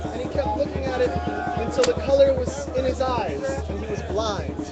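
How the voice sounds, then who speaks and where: Very high-pitched man reading a story aloud. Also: muffled recording. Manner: prompts listeners to fill in words. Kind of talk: interactive read-aloud storytelling. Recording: outdoor live mobile stream, music and crowd. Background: music, crowd chatter, street sounds.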